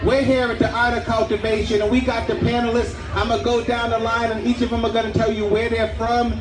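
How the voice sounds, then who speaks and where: A man's voice: very high voice, level tone, a little fast. A man hosting a panel at an outdoor rally, announcing to the crowd in an emcee's patter. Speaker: panel host and DJ. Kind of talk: live event hosting. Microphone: stage microphone, captured on a phone in the audience. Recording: echoey outdoor phone recording with loud crowd. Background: crowd noise.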